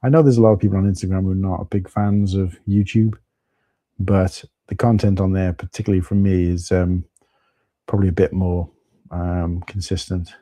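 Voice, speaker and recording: A middle-aged man talks through a live stream in a husky, low, fairly flat voice. His tone is very dark and mellow, and the sound is dry and close. He rambles casually, with plenty of fillers and restarts. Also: normal speed, no room sound. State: husky from tiredness.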